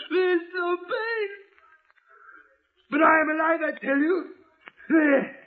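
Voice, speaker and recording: An arrogant Nazi colonel with a German accent, unravelling into theatrical hysteria. His voice climbs very high and drags out its words. It is 1940s radio horror on a narrow, tinny broadcast recording. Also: noisy background, full character voice. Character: arrogant Nazi colonel cracking into raving terror.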